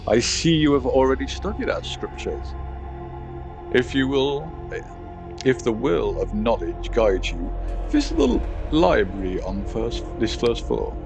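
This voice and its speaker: A man's voice: mid-range voice, very animated, measured pace, gravelly, over music. A man voicing a dogmatic brother of a shipboard religious order in a game, formal and ceremonious. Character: dogmatic male brother guarding a ship's middle deck.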